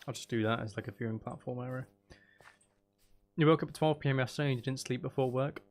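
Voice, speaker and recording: A man's voice: medium pitch, fast talker. A man casually chatting on a live game stream, musing aloud and talking to viewers as he plays. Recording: faint background noise.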